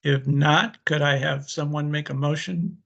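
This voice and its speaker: Higher-voiced man chairing, dull call audio. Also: fairly even intonation, a little slow, vocal fry, fairly bright tone. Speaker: meeting co-chair, government agency staffer. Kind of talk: chairing a formal online meeting. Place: indoors on a video call.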